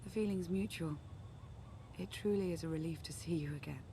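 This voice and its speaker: An actress playing a composed, earnest female Sith strategist and loyal ally in a video game, speaking in a measured, formal, articulate way. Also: deep voice, background noise. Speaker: advisor and ally. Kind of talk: scripted game dialogue, briefing.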